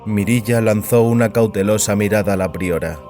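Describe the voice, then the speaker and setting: A man's voice: lowish voice, moderate pace. A man giving a scripted narration of a science fiction audiobook. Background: music.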